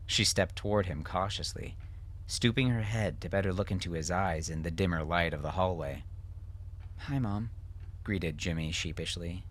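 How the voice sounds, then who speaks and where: A man's voice: low-pitched, a bit bright. A man narrating dramatic fiction from a script as an audiobook narrator.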